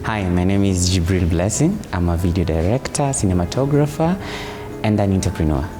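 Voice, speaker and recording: Man, deep voice, plain step-by-step storyteller; music bed. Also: lively intonation, fairly quick. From Kenya. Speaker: video director and entrepreneur. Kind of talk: interview testimonial. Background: background music.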